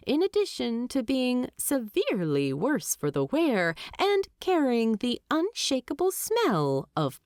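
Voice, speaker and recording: A woman narrating fiction from a script as an audiobook narrator, in a measured, expressive storytelling manner. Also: fairly high pitch, dry recording, studio quality.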